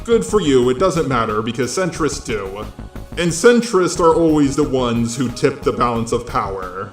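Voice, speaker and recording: A man's voice: mid-range voice, sing-song, normal speed, echoey room. A synthetic robotic male voice reading scripted video essay commentary. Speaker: host of a video commentary channel. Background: faint background music.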